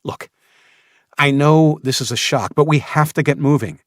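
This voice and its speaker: A man's voice: on the high side, moderate pace, dry recording, clean studio sound, pitched above the performer's own voice, natural voice. A man voicing a tense, impatient armed father in an audiobook, speaking with urgent insistence. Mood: insistent, explaining.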